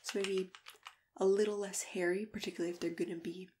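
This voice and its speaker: A woman playing a kindly, patient matchmaker in an ASMR roleplay, speaking softly and often whispering in a gentle, hesitant way. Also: medium pitch, flat delivery, brisk, close to an everyday voice. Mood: gentle, attentive. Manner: gentle, hesitant, murmured acknowledgements. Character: kindly, patient female monster matchmaker interviewing a client. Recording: clear background noise, keyboard typing and clicks. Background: computer keyboard typing.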